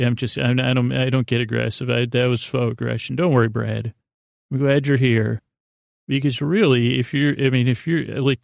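Creaky-voiced man rambling as podcast host. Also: medium pitch, a little mellow, dry recording, clean studio sound. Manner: meandering, halting, repeats and restarts himself; silly, playful banter with an imaginary guest. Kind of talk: rambling bedtime podcast monologue.

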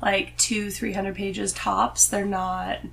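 A woman's voice: mid-range voice, level tone, slow, vocal fry, a bit bright, a little hiss or hum. A woman talking casually as a guest on a podcast. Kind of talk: casual chat.

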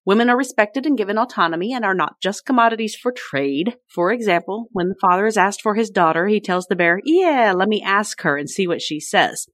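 A woman's voice: medium pitch, expressive, fairly quick, hardly any pauses, a bit bright, dry recording. A woman co-hosting a podcast, explaining things in a casual discussion. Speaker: podcast co-host.

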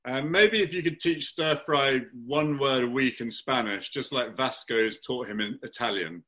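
A man's voice: mid-range voice, moderate pace, heavy background noise. A man hosting a casual chat show, upbeat and teasing, laughing often. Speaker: podcast host.

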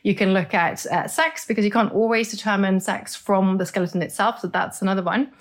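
An enthusiastic middle-aged woman from Norway talks through her subject as an interview guest. Her pitch sits in an ordinary middle range. She explains at length and often repeats words as she goes. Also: fairly even intonation, brisk. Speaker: expert guest. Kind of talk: conversational interview.

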